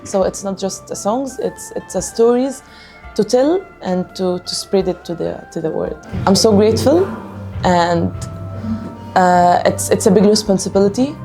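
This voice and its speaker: A woman from Gaza with a Palestinian Arabic accent, a singer answering interview questions in earnest, halting English, often repeating words as she restarts. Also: mid-range voice, animated, mellow, soft background music. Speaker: singer of a music band.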